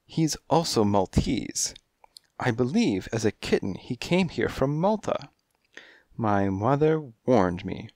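A man's voice: mid-range voice, sing-song, slow, a little mellow, no room sound, studio quality, pitched above the performer's own voice. A man voicing a flirtatious, dainty female cat who gushes and speaks breathily, in a storybook reading. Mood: gushing. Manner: breathy, gushing, coy.

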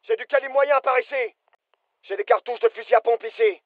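A man's voice: extremely high pitch, fairly even intonation, a little fast, no echo, phone-quality sound. A male actor playing an alert, businesslike soldier giving clipped, urgent callouts in a military shooter game. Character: British fireteam soldier, alert, businesslike adult man. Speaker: voice actor, French dub.

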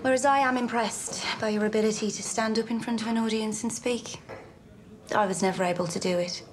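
An actress playing a poised young gangster-family woman, cool, measured and dryly assertive. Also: fairly high pitch, steady tone, a crowd in the background, lightly acted. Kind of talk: period television drama dialogue.

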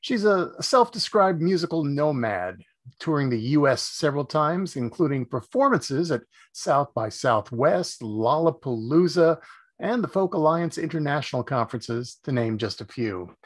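A man's voice: on the high side, animated, normal speed. An older man in his sixties, hosting a show and reading an upbeat scripted introduction from a home office with bookshelves. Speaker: show host.

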